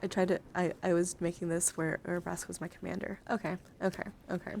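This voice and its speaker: A woman livestreamer chatting casually and informally, excitable and prone to swearing, as she talks viewers through her hobby. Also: fairly low pitch, fast, creaky, a little hiss or hum. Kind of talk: casual livestream chat while sorting trading cards.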